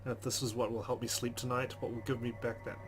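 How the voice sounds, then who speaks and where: A man's voice: medium pitch, little pitch movement, fast talker. A man reading a visual novel aloud as a let's play host, with casual asides. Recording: clear game music behind.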